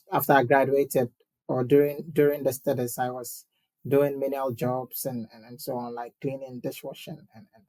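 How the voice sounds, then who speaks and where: Flat, gravelly-voiced man from Ghana. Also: medium pitch, no echo, poor audio. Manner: measured, with many fillers and repeated words. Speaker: guest, municipal election candidate. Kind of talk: interview answers.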